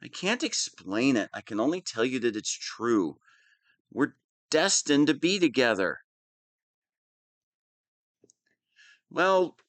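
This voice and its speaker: A man playing a whiny, hapless, soft-hearted wannabe super-villain in a scripted audio roleplay, self-pitying and prone to dramatic swings. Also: fairly low pitch, dry recording. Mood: dramatic, confessional. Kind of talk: scripted one-sided audio roleplay monologue.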